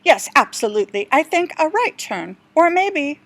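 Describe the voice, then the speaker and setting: A woman's voice: high-pitched, sing-song, normal speed, fairly bright tone, no room sound, full character voice. A woman voicing a befuddled lost male jungle guide in a storybook read-aloud, murmuring hesitantly and absent-mindedly to himself. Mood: briefly certain.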